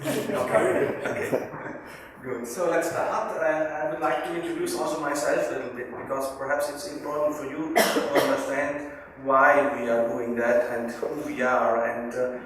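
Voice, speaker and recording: A man in his forties from northern Italy, a native German speaker with a South Tyrolean German accent, gives a seminar presentation in English, haltingly searching for words and checking with his listeners. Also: mid-range voice, fairly even intonation, fairly bright tone. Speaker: guest speaker, business consultant. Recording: echoey hall, distant mic, faint noise.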